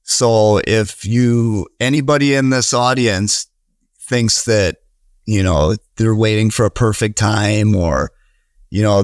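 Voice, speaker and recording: A man in his thirties with a Wisconsin accent, speaking as an earnest podcast guest. His voice sits fairly low, and he rambles, restarting sentences and filling gaps with "you know." The sound is close and dry, with no room echo. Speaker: podcast guest, real estate investor. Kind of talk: casual conversational interview.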